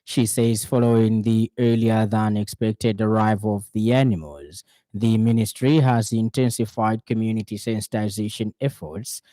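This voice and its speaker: A Zambian man reads a scripted news bulletin on the radio. His voice is low and mellow, with a formal, measured delivery. The sound is close and dry, like a studio booth. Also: steady tone, no room sound.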